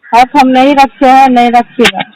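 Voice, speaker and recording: A woman's voice: high-pitched, level tone, normal speed, a little mellow. A young woman arguing privately on a recorded phone call, refusing in a curt manner.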